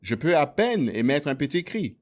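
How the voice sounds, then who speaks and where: A man interpreting a sermon, rendering the preacher's words in a measured, plain way. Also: on the high side, very animated, darkish tone. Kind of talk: translated sermon voice-over.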